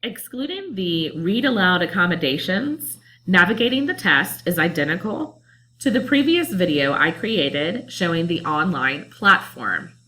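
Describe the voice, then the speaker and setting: A woman teacher narrating a calm instructional screencast about online testing. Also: on the low side, a little slow, poor audio. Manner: calm, explanatory.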